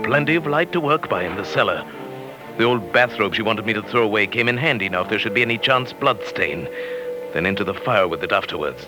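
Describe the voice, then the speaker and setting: Man acting murderous British professor, low voice, precise, menacing, theatrical, old radio drama, loud music behind. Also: close to an everyday voice, poor audio. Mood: smug narrating. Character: coldly scheming middle-aged British husband, a murderous professor.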